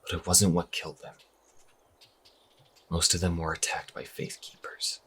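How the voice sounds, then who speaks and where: Troubled young priest, audio drama. Very low, rough-edged voice; earnest, hesitant, questioning his faith. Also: completely flat delivery, moderate pace, a bit bright, heavily acted. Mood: distressed, earnest.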